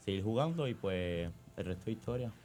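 Deep-voiced young man. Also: expressive, a little fast, a little mellow. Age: young adult. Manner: brief answers, repeats short phrases. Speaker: volleyball player, interview guest. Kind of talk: casual interview answers. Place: radio booth.

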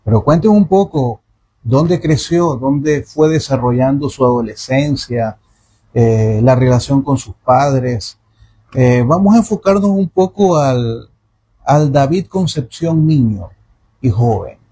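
A man's voice: medium pitch, takes it slowly, dark tone, a little hiss or hum. A man hosting a radio interview, who introduces his guest in an effusive, formal way. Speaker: radio host.

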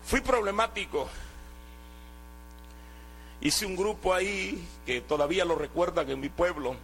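A man's voice: high voice, a little slow, a bit bright, slight background noise, low-quality recording. A man from Guatemala, giving an earnest sermon testimony and often restarting his words. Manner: earnest, exhorting, with hesitant restarts.

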